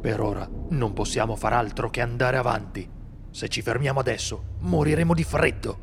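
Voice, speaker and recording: A man playing a seasoned, solitary monster hunter in an amateur radio drama, speaking gravely and steadily. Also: mid-range voice, moderate pace, a little hiss or hum, close to an everyday voice. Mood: grim. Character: seasoned male monster hunter, brooding loner.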